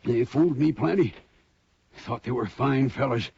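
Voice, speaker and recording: A beaten, dazed townsman in an old radio western, halting and shaken. His voice is gravelly and very raspy, and it is recorded dry and lo-fi, like an early broadcast. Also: mid-range voice, darkish tone, dry recording. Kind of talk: 1950s radio drama acting.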